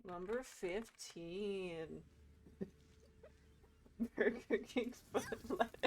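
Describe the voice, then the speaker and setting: A woman's voice: mid-range voice, very slow, slight background noise. A woman doing casual, joking, foul-mouthed commentary as a game streamer.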